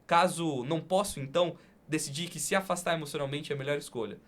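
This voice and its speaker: Higher-voiced man, deliberate podcast host. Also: fairly bright tone. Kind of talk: conversational hosting and spoken-word poem recital.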